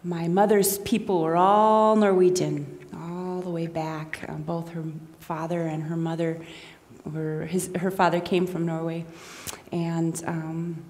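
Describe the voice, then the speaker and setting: Slow-talking woman in a reverberant hall. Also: fairly low pitch, fairly even intonation, darkish tone. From Minnesota, USA. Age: middle-aged. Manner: unhurried and conversational, with many ums and restarts. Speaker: guest speaker. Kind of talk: informal talk to a gathering.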